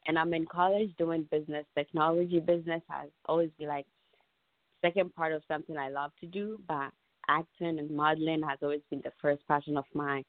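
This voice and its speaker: A woman's voice: sits low, steady tone, fairly quick, dry recording. A young woman with a Ghanaian accent chatting casually as an interview guest. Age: young adult. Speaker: podcast guest, aspiring actor and model. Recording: muffled phone-line sound.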